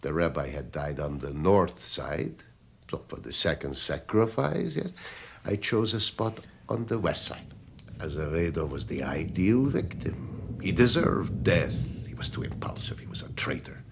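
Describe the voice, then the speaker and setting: Radio drama villain: dandyish crime lord, slow and gravelly, mock-polite menace, hushed intensity. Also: male voice, rumbling low, sing-song, slight background noise, heavily acted. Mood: gloating. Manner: theatrical, mock-courteous, deliberate, dropping into hushed intensity. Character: menacing, dandyish male crime boss, vengeful and courteous. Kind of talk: 1980s radio drama acting.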